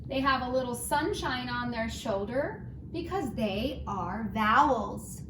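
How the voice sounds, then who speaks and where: Brief: encouraging woman teacher, a bit high, leading a kids' lesson; distant mic in an echoey room with background noise. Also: lively intonation, slow. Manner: gentle, encouraging, singsong. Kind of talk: children's phonics lesson. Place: home. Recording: roomy, distant, noisy home recording.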